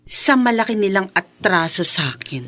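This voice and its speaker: A woman's voice: mid-range voice, expressive, normal speed, sharp tone. An actress in a Philippine radio drama playing a self-assured, vengeful rich young woman who slips English phrases into her Tagalog. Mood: smug, bitter.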